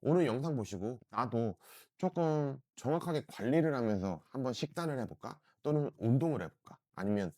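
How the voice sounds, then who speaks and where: A middle-aged man with a hoarse voice from a throat cold, earnestly and persuasively reviewing a home body-composition scale in a casual vlog. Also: medium pitch, animated, brisk, a little mellow, no echo, clean studio sound. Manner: earnest, repeats "진짜" often, persuasive. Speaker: diet product reviewer.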